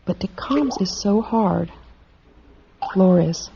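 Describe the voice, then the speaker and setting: A woman's voice: medium pitch, animated, drawn-out words, vocal fry, dark tone, slight background noise, exaggerated voice. An actress playing an earnest, dedicated woman psychologist in a dystopian radio drama. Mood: reflective, tender. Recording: dull vintage radio recording, music behind.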